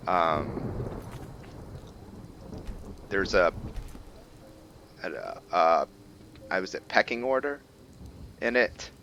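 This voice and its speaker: A man with a low, bright-edged voice hosts a casual roleplay gaming video. He takes his time, thinking aloud and often leaving sentences unfinished. Faint background noise sits behind him. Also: very animated. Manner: thinking aloud, halting, trailing off mid-sentence. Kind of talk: casual roleplay game commentary.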